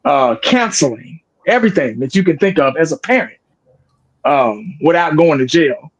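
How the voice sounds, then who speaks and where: A man's voice: on the high side, expressive, normal speed, husky, rough recording. Candid, profane man in his forties. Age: 40s. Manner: candid, profane, rambling with restarts. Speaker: sports video creator. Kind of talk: casual podcast chat.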